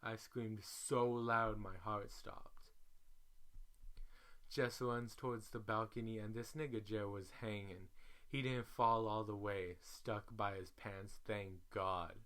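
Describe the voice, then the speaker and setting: Bright, forceful male storyteller. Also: on the low side. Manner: animated, profane, slangy, acts out each quote. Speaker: reader, storyteller. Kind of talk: dramatic reading of a social media story thread.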